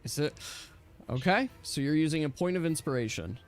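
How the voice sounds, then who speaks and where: A man's voice: on the high side, lively intonation, brisk, faint music behind. A man acting as game master, casually narrating and giving rulings in a tabletop role-playing game.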